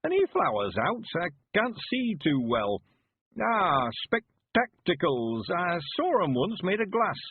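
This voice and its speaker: A man voicing a cheerful, frail 91-year-old man, quavering and slow, rustic and stubborn. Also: high voice, wildly swooping pitch, husky, voice pushed up, exaggerated voice. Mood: eager. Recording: phone-like lo-fi sound.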